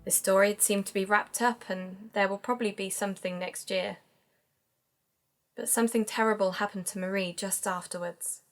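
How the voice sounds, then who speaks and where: A woman's voice: medium pitch, fairly even intonation, normal speed, faint music behind, lightly acted. A woman playing a young amateur-detective podcaster in an audio drama, speaking in a natural conversational way. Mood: serious.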